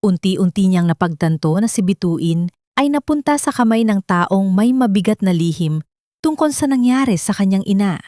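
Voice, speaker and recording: A woman's voice: medium pitch, expressive, moderate pace, mellow, no echo. Woman narrating a dramatic story, dry sound. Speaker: audiobook narrator.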